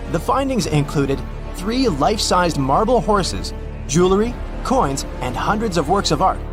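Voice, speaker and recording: A man narrating a scripted explainer as the presenter of a story. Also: on the high side. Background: background music.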